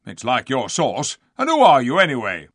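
A man voicing a surly, suspicious dwarf in a storybook reading, gruff and blustering as he grumbles in colloquial speech. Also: mid-range voice, wildly swooping pitch, normal speed, a bit bright, no echo, clean studio sound, heavily acted. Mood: angry, defiant. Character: surly, defiant, suspicious dwarf, scornful and rude.

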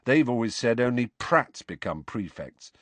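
A man voicing an awkward, excitable teenage boy in an audiobook, easily embarrassed and a bit hesitant. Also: medium pitch, normal speed, no room sound, pitched above the performer's own voice. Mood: doubtful, then eager. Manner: hesitant, muttering.

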